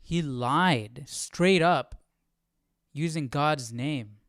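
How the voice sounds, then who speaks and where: A man's voice: fairly high pitch, drawn-out words, fairly bright tone, dry recording, clean studio sound. A man hosting a livestream with casual, colloquial reaction commentary, incredulous in manner. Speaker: livestream host.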